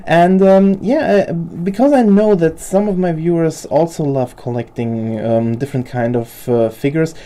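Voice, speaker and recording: A young woman whose first language is German talks through her collection in a loose, unscripted way. Her voice sits low and sounds very dark and mellow. She hesitates often and circles back over her own words. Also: moderate pace, hardly any pauses. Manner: hesitant, many fillers and restarts. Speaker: figure collector video host. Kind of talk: casual unscripted show-and-tell.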